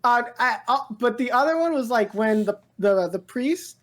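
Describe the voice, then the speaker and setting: A woman's voice: fairly high pitch, animated, moderate pace. A woman co-hosting a pop culture podcast, chatting casually about movies in a rambling way, often repeating and interrupting herself. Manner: rambling, frequent self-interruptions and repeated words. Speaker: podcast co-host.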